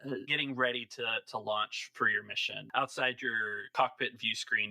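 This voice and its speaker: Male game master, very bright and forceful tone, dry close sound. Informal tabletop storytelling. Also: fairly low pitch, gravelly, no room sound, rough recording. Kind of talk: narrating a tabletop role-playing game.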